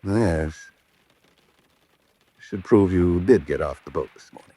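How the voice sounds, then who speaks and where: A man's voice: low voice, slow pace, warm tone, slightly rough, heavily acted. An actor playing a polite, self-deprecating male house guest in an old-time radio drama, speaking with understated courtesy. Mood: apologetic, smooth.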